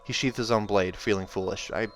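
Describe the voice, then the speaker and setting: A man's voice: fairly low pitch, normal speed, fairly bright tone. A man narrating a fantasy novel aloud, with informal, self-correcting asides as he reacts to the text. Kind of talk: audiobook narration with casual asides.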